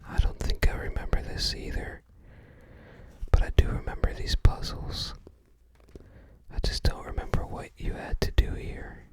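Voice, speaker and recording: An ASMR host whispering softly and breathily close to the microphone, musing casually and tentatively. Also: normal speed, pauses often. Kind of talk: ASMR, casual commentary while browsing a game guide book.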